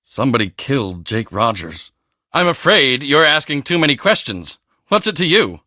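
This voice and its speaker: A man voicing a cocky, boastful teenage high school football star in a video game, with a swaggering, emphatic, self-important delivery. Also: sing-song, normal speed, pushed and bright, no room sound, studio quality. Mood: guarded, dismissive. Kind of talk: video game character dialogue.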